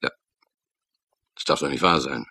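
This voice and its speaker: Very deep, gravelly police detective. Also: male voice, moderate pace, noisy background. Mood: curt, dismissive. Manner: sardonic, curt. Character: gruff, cynical hardline male police detective. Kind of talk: radio crime drama acting.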